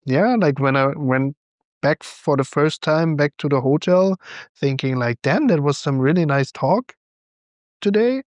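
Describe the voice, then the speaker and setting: German man, slightly high, thoughtful. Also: expressive, a little slow, no room sound. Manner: thoughtful, hesitant, laughs often. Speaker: podcast co-host. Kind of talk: casual chat.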